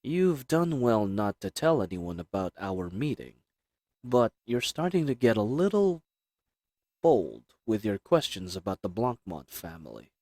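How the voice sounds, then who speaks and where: A man playing a cool, confident, flirtatious assassin in a roleplay audio drama, with a smug, teasing, measured delivery. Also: lowish voice, very animated, darkish tone, no echo, heavily acted. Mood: teasing, warning.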